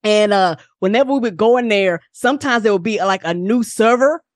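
A middle-aged woman co-hosting a casual podcast, telling stories in animated slang and swearing freely. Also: mid-range voice, brisk, dry recording, studio quality. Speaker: podcast co-host. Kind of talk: casual chat and storytelling.

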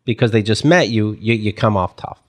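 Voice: medium pitch, fairly quick. A podcast host in casual chat, probing with questions and dropping teasing asides.

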